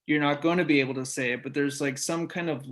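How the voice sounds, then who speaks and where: A man who stutters, often repeating words and breaking off sounds, as a guest in a relaxed interview conversation. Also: a little high, quick pace. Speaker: podcast guest, military college music director.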